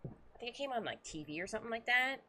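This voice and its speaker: A woman's voice: on the high side, lively intonation, fast talker, pushed and bright, a little hiss or hum. A young woman casually commenting while she plays a game, giggling and rambling as she reacts. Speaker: game streamer.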